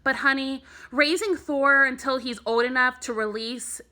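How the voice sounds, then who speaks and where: A warm, encouraging mother in a children's storybook, read by a woman. Her voice is bright and a little high. She speaks in a measured, affectionate way. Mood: gentle, serious.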